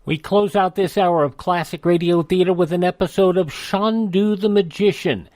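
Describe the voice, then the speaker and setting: Male radio host, slightly high pitch, scripted introductions, dry studio. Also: moderate pace, a little mellow, dry recording. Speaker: classic radio show host.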